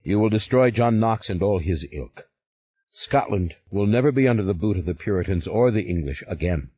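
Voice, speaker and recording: A man's voice: lowish voice, lively intonation, normal speed, husky, no echo. A man voicing a stern, devout older father who speaks in a commanding, solemn way. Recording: slightly muffled recording.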